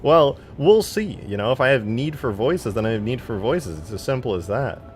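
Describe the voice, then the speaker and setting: Low-voiced man chatting on a livestream. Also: fairly quick, a little hiss or hum. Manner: slangy, swearing, self-deprecating. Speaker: live streamer. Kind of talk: casual livestream chat, reading out viewer comments.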